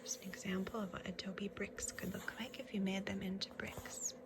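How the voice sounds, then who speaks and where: A woman's voice: medium pitch, flat delivery, whispered. A woman reading a picture book aloud for young children, instructive, with casual asides and questions about the pictures. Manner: instructive, pausing to point out pictures.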